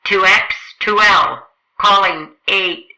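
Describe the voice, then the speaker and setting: A woman playing a lone radio operator in a radio drama, deliberately calling out for a reply. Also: mid-range voice, pushed and bright, exaggerated voice, poor audio. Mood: searching, uneasy. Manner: deliberate, repeated radio calls.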